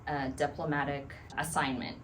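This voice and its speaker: A woman in her thirties from Thailand, answering interview questions in a measured, thoughtful way with many pauses. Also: low voice, little pitch movement, fairly bright tone, a little hiss or hum. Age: 30s. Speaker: guest.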